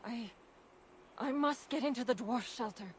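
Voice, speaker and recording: A woman's voice: on the high side, a little slow, slight background noise, close to an everyday voice. An actress playing an earnest, determined female giant in love, in a fantasy video game cutscene. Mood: earnest, yearning.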